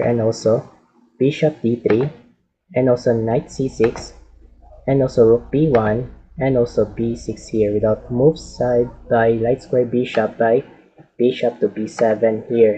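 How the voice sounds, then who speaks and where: Hoarse guy w a cold going over a chess game, halting english, dull lo-fi sound. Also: medium pitch, mellow. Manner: halting non-native English, repeats filler phrases. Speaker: chess commentator. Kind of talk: game analysis commentary. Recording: dull lo-fi audio.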